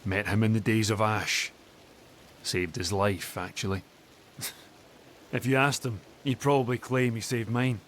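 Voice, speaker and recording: An actor playing a gruff, proud older father who lives in the hills, wry in manner, in a video game. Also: fairly low pitch, fairly even intonation, measured pace, pauses often, a bit bright, slight background noise. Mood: reminiscing. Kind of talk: video game dialogue acting.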